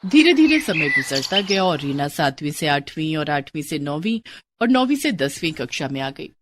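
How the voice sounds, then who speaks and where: A woman narrating scripted moral stories in an expressive storytelling style. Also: low voice, normal speed, heavy background noise.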